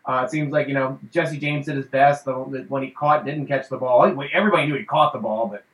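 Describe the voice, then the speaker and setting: A man's voice: mid-range voice, fairly even intonation, fast talker. A man hosting a casual fan show, rambling with lots of fillers and laughing often. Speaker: podcast host. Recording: roomy echo.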